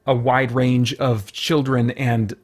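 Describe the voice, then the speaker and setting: A man's voice: mid-range voice, little pitch movement, slow pace. A man hosting a review show, talking casually in unscripted commentary and searching for his words as he thinks aloud. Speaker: host of a comic book review show.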